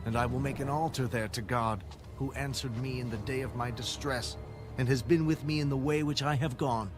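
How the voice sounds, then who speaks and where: A man's voice: medium pitch, steady tone, a little fast, natural voice. An actor playing a resolute male patriarch addressing his household in a dramatized audio Bible, earnest and declarative. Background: faint music.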